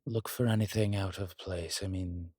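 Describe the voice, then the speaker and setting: A man playing a long-lived demonology professor in an audio roleplay, calm, protective and gently wry, speaking in a measured, deliberate way. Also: deep voice, husky, dry recording, studio quality. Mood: grave, explaining. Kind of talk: slow intimate audio roleplay acting.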